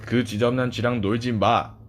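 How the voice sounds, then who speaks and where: A man puts on a grumpy pig for a children's tale. He is gruff and scornful, pitched in the middle, forever suspicious and accusing. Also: fairly even intonation, moderate pace, slight background noise, lightly acted.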